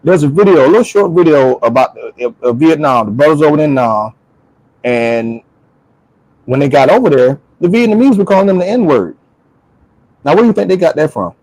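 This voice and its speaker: A man's voice: fairly high pitch, lively intonation, normal speed, a little mellow, no room sound, low-quality recording. A man talking casually and with strong opinions, emphatic and repeating phrases for stress. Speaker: talk show speaker.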